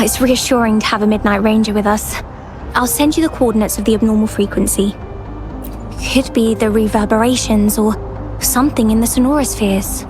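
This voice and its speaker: A woman's voice: fairly high pitch, close to an everyday voice. A woman voicing a polite, conscientious young field researcher in a video game, earnest and slightly hesitant. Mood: reassured, instructive. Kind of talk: video game character dialogue.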